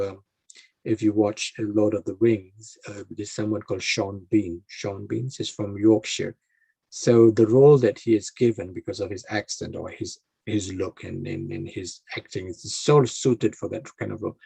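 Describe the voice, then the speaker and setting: A man's voice: on the low side, vocal fry, mellow, husky, dry recording. A man giving an informal, conversational explanation to a group of learners, often restarting phrases and checking in with "right?". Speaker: guest speaker at an English club.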